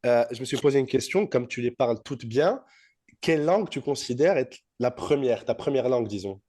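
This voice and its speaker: Casual male podcast host, medium-pitched voice. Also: animated, fairly quick, warm tone. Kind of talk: casual interview chat.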